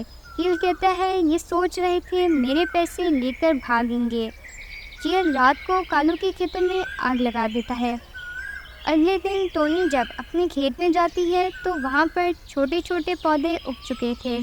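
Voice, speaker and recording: A woman's voice: sits high, a little hiss or hum. A woman reading scripted narration for a children's cartoon moral story.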